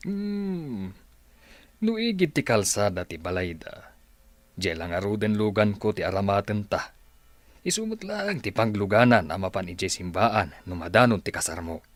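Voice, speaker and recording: A man's voice: on the low side, sing-song, normal speed, no echo. A man playing a practical, incredulous best friend of a groom in a radio-style drama.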